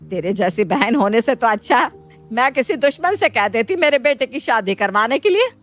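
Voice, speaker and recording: A woman's voice: a little high, lively intonation, normal speed, lightly acted. A woman voicing an emphatic, theatrical middle-aged Indian mother-in-law in a Hindi cartoon moral story. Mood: scolding, exasperated. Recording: music bed behind the voice.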